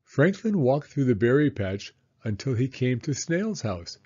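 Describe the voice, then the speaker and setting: A man narrating a children's storybook aloud in an expressive, measured storytelling manner. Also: medium pitch, husky, muffled recording.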